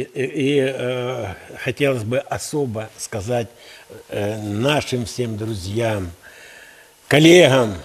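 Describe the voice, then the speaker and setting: A man in his sixties with a husky voice, talking at a slow, deliberate rate. He is an interview guest in a TV studio, speaking earnestly and emphatically, with halting, speech-like phrasing. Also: mid-range voice. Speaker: university rector, interview guest. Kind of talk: earnest patriotic interview talk. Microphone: headset.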